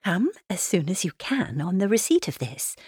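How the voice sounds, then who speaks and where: A woman's voice: mid-range voice, very animated, moderate pace, darkish tone, husky, no echo, studio quality. A woman voicing a genteel young society lady, arch and formal, in a letter read aloud from a classic novel. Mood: affected, sociable. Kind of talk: dramatised classic novel reading.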